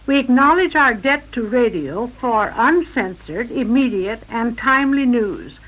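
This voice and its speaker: A woman with a fairly high voice reads a formal address for radio. The sound is dull and muffled, as on a 1940s broadcast recording. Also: expressive, a little slow. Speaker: club president, guest speaker.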